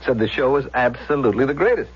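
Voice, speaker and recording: An actor playing a vain, anxious small-town fire chief and husband in a 1970s radio drama. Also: male voice, mid-range voice, expressive, fast, husky. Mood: eager, boastful. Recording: dull, noisy old radio recording with hum.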